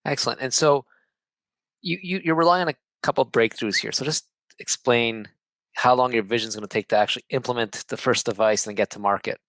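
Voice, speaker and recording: Man, interview host, encouraging, rambling questions, mid pitch, dry sound. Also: a little fast, a bit bright, no echo. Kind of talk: conversational interview.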